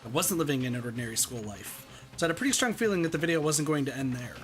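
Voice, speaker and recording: Man voicing an earnest, quick-talking schoolboy hero. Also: fairly high pitch, lively intonation, faint music behind, close to an everyday voice. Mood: uneasy. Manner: dramatic, intense. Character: earnest, anxious teenage schoolboy hero narrating his ordeal. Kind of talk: reading visual novel aloud in character.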